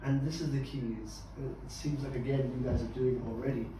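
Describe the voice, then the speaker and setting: A man guiding a group discussion in an encouraging, measured way. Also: mid-range voice, steady tone, background noise. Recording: echoey room, distant mic.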